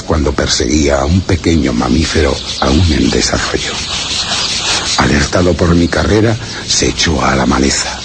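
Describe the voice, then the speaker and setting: A man playing a solemn, anguished explorer who narrates a horror radio play in grave, measured, literary phrases. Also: rumbling low, noisy background. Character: solemn, anguished male explorer recounting grim discoveries. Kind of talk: dramatic radio play narration, first-person horror tale. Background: music.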